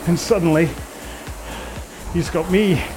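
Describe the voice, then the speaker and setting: A man's voice: on the high side, slow pace, rough recording. A man coaching a rowing workout in a casual, rambling, jokey and conversational way. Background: music.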